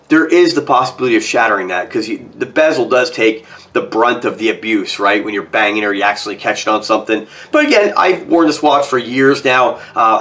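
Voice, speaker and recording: A North American man in his forties, slightly hoarse from a cold, giving a casual, opinionated product review in a conversational, emphatic way. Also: mid-range voice, fairly bright tone. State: getting over a cold. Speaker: watch reviewer. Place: small home office, indoors.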